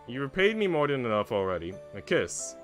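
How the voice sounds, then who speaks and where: A man's voice: mid-range voice, very animated, brisk, a bit bright, faint music behind. A man reading a playful, teasing young duchess. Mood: affectionate, coaxing. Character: teasing, generous young duchess, a woman wooing her lover.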